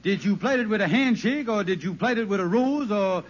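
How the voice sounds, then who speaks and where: Scheming lodge brother, high voice, American accent, wheedling, hesitant, folksy, muffled vintage radio comedy recording. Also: male voice, animated, brisk. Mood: anxious. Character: scheming, smooth-talking male lodge brother angling for money. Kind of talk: 1950s radio sitcom acting.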